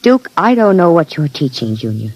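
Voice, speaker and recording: Uneasy, world-weary radio heroine. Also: female voice, low-pitched, very animated, normal speed, a little mellow, slightly rough, dry recording, exaggerated voice, low-quality recording. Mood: frightened. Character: uneasy, world-weary female nursemaid, a crook's old flame. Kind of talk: old-time radio drama acting.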